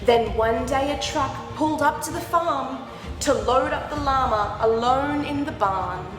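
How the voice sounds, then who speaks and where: A woman reading a picture book aloud to young children, expressive, with animated, exaggerated reactions and asides. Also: high-pitched. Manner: animated, exaggerated reactions with gasps and drawn-out words. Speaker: children's storyteller. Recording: roomy echoing hall sound, background music. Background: music.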